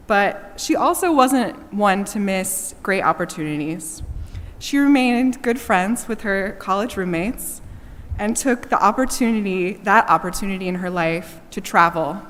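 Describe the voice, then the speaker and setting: A woman reading a prepared eulogy in a church, slow and measured, pausing between each item she lists. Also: medium pitch, fairly bright tone. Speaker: daughter giving a eulogy. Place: church sanctuary. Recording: long church reverb, faint background hiss.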